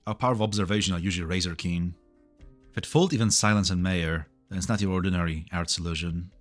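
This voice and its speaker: Man playing an earnest low-voiced tech specialist. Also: fairly even intonation, quick pace, faint music behind, lightly acted. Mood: analytical. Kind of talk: scripted anime game dub acting.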